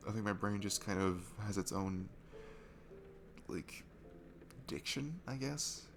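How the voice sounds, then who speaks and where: A man's voice: fairly low pitch, lively intonation, slow pace, vocal fry, over music, full character voice. A young man playing a shy, nervous, sweet guy at a bar in an ASMR roleplay, talking in a hesitant, rambling, self-deprecating way. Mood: flustered. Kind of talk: ASMR roleplay, one-sided intimate conversation.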